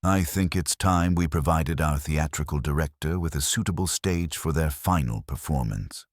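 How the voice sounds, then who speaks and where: A man voicing a courteous, thoughtful police inspector, calmly shrewd, speaking in a measured, formal way in an audiobook mystery. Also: rumbling low, dry recording, studio quality.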